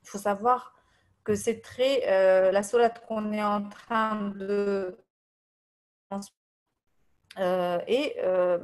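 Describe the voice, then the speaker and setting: A woman's voice: medium pitch, a little mellow. Hesitant woman teaching a religious lesson. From Tunisia. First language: Arabic. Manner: hesitant, many fillers, reads translations then recites Arabic quickly. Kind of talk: religious lesson over voice messages.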